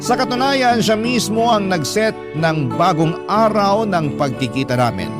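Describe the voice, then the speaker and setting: Male drama narrator over clear music. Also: sits high, lively intonation, a little slow. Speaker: narrator telling a letter-sender's love story. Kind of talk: first-person scripted radio drama narration.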